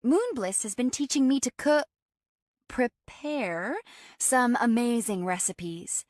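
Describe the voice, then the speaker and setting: A woman voicing a bubbly, sociable young woman with a bright, upbeat manner in an audiobook. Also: high-pitched, very animated, moderate pace, no echo, studio quality, pitched above the performer's own voice. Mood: bright, proud.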